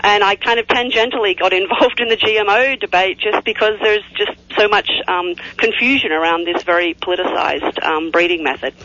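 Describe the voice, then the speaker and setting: Bright Australian woman, laughing guest. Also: medium pitch, a little fast, hardly any pauses. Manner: friendly, laughs easily, hesitant with um. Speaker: guest scientist. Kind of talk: casual radio interview. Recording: dull lo-fi radio sound.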